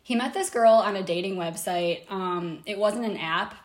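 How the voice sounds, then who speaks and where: Woman, podcast host, rambling storyteller, laughs easily, middle pitch. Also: normal speed, roomy sound. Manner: rambling, many fillers and restarts, laughs easily.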